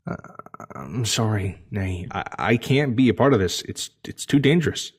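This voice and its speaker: Stammering, husky, anxious male spacefarer. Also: lowish voice, lively intonation, normal speed. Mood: fearful, insistent. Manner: stammering, hesitant, repeating himself. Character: anxious, cautious male ex-security spacefarer named Polo. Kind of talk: full-cast sci-fi audio drama acting.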